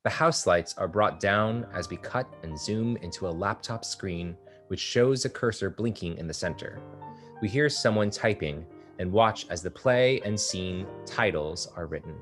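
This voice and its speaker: A man reads the stage directions in a steady, descriptive voice that sits fairly low. Music plays faintly behind him. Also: normal speed. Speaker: narrator reading stage directions. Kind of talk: table read of a stage play.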